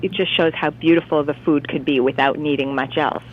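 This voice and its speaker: A woman from Canada, a cookbook author, talking brightly on a phone line into a radio interview, often starting with "you know what". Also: low-pitched, fairly even intonation, a little fast, slight background noise. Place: on the phone from home city.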